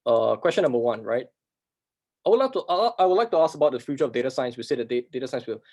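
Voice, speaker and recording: A man who is not a native English speaker answers workshop questions, talking fast and emphatically, often asking and answering his own rhetorical questions. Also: mid-range voice, animated, darkish tone. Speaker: workshop instructor.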